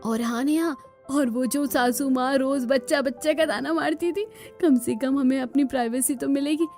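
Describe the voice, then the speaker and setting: A woman's voice: high voice, normal speed, a little mellow, soft background music. A woman voicing a spirited, outspoken young village daughter-in-law in a cartoon. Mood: hopeful. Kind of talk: Hindi cartoon dialogue acting.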